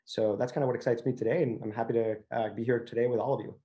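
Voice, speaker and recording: A man's voice: medium pitch, steady tone, very fast, mellow, muffled recording. A man from Venezuela speaking as a company founder on a discussion panel, conversational and peppered with verbal hedging. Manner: conversational, frequent filler "you know" and "kind of".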